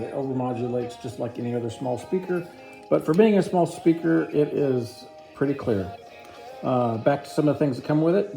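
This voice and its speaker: A man's voice: medium pitch, gravelly. A man giving a casual, informal product review, explaining features plainly step by step.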